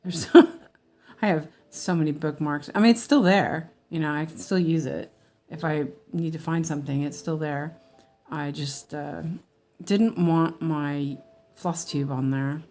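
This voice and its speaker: Slow-talking American woman, chatty. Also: low voice, fairly even intonation, darkish tone. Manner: rambling and meandering, chatting with viewers. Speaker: cross-stitch livestreamer. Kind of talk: casual livestream chat while stitching.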